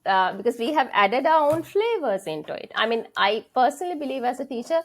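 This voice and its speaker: A woman's voice: high-pitched, very animated, brisk. A middle-aged woman from Sri Lanka with a Sri Lankan accent, a senior English lecturer being interviewed, talking earnestly and thinking aloud. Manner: earnest, thinking aloud with frequent fillers and restarts. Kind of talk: conversational interview.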